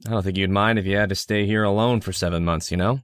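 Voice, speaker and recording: A man's voice: lowish voice, steady tone, fast talker, a bit bright, dry recording, clean studio sound, natural voice. A man playing a lonely, jittery city-bred surveyor in a radio drama, talking in a wistful, hesitant way. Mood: resigned, apologetic.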